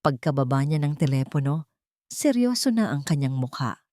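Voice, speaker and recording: A woman's voice: low-pitched, sing-song, normal speed, mellow, no echo, studio quality. A woman reading a scripted first-person dramatic story as its narrator.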